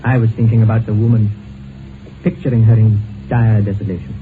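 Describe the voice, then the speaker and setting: An actor in an old-time radio drama playing an earnest, sentimental gentleman doctor, speaking politely and gravely. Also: fairly low pitch, flat delivery, fairly quick, natural voice. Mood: courteous. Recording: tinny, noisy old radio recording.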